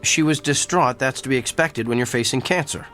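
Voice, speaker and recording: An actor playing a blunt, cerebral doctor in a radio drama, with a precise, pedantic, clinical manner. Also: male voice, mid-range voice, a little fast, a bit bright, faint music behind, lightly acted. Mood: matter-of-fact.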